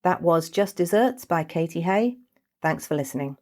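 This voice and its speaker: A woman's voice: lowish voice, lively intonation, moderate pace, a little mellow. A woman reading a scripted fantasy short story as its narrator.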